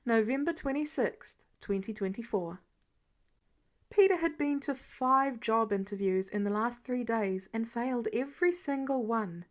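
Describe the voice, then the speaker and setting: A woman's voice: on the high side, animated, measured pace. A woman reading a story aloud as scripted narration, acting it out expressively. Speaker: podfic narrator reading fan fiction.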